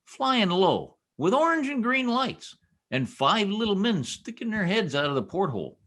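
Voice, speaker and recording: A man's voice: high-pitched, big pitch swings, moderate pace, a bit bright, full character voice. A man playing a boastful, folksy small-town husband full of slangy bluster in an old-time radio comedy. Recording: video call recording.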